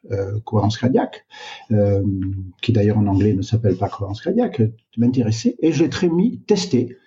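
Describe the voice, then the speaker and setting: An older man, a retired doctor, answering interview questions in a slow, reflective way with many hesitations. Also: mid-range voice, mellow. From Canada. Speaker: guest, doctor. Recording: dull, lo-fi sound.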